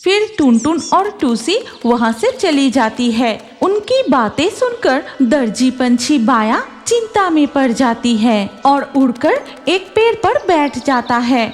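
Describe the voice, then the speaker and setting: A woman's voice: high voice, big pitch swings, slow, few pauses, faint music behind. A woman narrating a scripted children's story as its storyteller.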